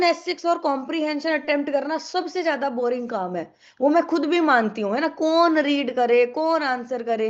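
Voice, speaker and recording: A woman's voice: sits high, lo-fi sound. A young woman teaching an online exam-prep English class with a sore throat, chatty and coaxing, mixing English into her Hindi.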